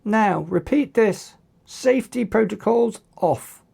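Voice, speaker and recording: A narrator voicing a commanding young boy hero who gives forceful, clipped orders in an audiobook. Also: mid-range voice, lively intonation, takes it slowly, creaky, breathy edge, pitched above the performer's own voice, exaggerated voice.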